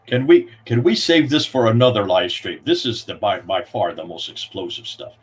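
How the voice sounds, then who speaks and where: A man's voice: lowish voice, expressive, moderate pace, slightly rough. A man speaking on a live stream, making impassioned, emphatic arguments with rising appeals. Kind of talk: heated debate talk.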